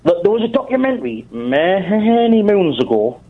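A man's voice: high voice, big pitch swings, normal speed. A man calling in by phone, his slightly raised voice coming over a muffled, dulled line. His delivery moves up and down a lot as he chats and teases the host. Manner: chatty and teasing. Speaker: caller. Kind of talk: casual phone-in chat.

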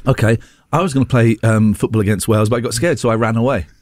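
A man's voice: medium pitch, steady tone, fast talker, darkish tone, no room sound, clean studio sound. A man hosting a casual show, playful and laughing often as he tells silly jokes. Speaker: host. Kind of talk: joking casual chat.

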